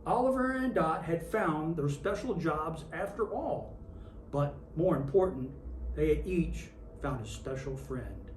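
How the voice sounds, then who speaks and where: A reader in character as Santa Claus, reading a children's picture book aloud with expressive storytelling. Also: mid-range voice, a little slow. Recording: roomy, distant mic with faint noise.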